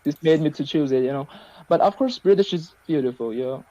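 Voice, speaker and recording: A man who speaks Persian natively, practising English on a video call, talking haltingly with many fillers and restarts. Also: on the high side, mellow, no room sound. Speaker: English learner. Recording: dull lo-fi video call audio.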